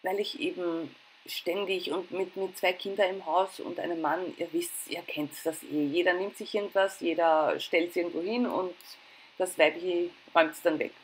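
A woman's voice: on the low side, steady tone, moderate pace, low-quality recording. A middle-aged woman with an Austrian accent narrating her household routine in a chatty, informal, self-deprecating way. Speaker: home and cooking vlogger. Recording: clear background noise.

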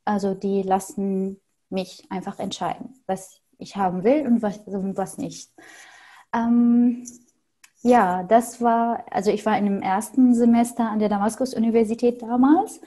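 A young woman from Syria whose first language is Arabic speaks in a soft, mellow tone. She answers slowly and thoughtfully, pausing often with fillers as she searches for the words. Her voice comes through a headset mic on a video call. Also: mid-range voice, fairly even intonation. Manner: thoughtful, hesitant with frequent fillers. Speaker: podcast guest. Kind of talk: casual interview answers. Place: small home room with paintings on wall.

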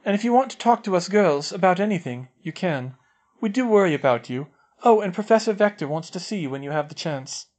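A man's voice: sits high, lively intonation, fairly quick, a little mellow, pitched above the performer's own voice. A man voicing a caring teenage schoolgirl talking through a door in an audiobook reading. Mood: gentle.

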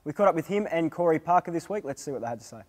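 A man's voice: a little high, lively intonation, racing, big-room sound, slight background noise. A young Australian man hosting a casual sports show in a studio, with an upbeat, jokey manner. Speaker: show host. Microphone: lapel.

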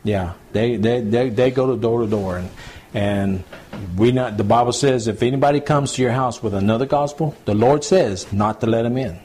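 A man, a pastor teaching scripture to a small home church group in a relaxed, repetitive, conversational way. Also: mid-range voice, normal speed, slight background noise. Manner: conversational, repeats points, addresses group as y'all. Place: small home gathering, recorded to CD.

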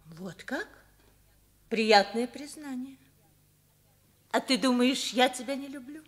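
An actress playing a proud, loving mother with a gentle, expressive manner in a Soviet radio play. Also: high-pitched, slow. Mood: gently probing. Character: proud, calm, loving mother of a teenage son.

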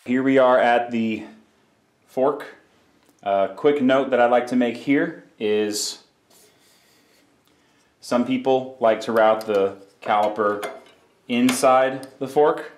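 A man's voice: medium pitch, fairly even intonation, slow, long pauses, echoey room. A man explaining a bike repair step by step in a casual, practical way, in his workshop. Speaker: bike mechanic. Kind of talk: hands-on repair tutorial.

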